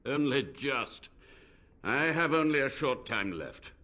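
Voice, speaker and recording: An actor playing a frail, ancient, haughty mage in a fantasy video game, imperious and formal, with a forceful theatrical delivery. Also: male voice, medium pitch, animated, slow. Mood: stern, weary. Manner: imperious, archaic formal phrasing.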